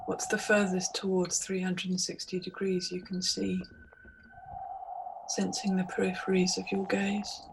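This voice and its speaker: A woman leading a guided meditation in a measured, hypnotic way, with deliberate phrasing and pauses. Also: on the low side, monotone, gravelly, noisy background. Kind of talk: guided meditation, scripted narration.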